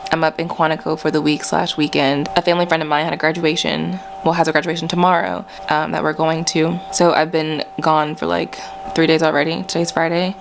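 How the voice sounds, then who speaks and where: A woman's voice: low-pitched, creaky, noisy background. A young woman vlogging in a casual, chatty and informal way, using slang and addressing her viewers as friends. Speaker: book vlogger. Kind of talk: casual vlog chat.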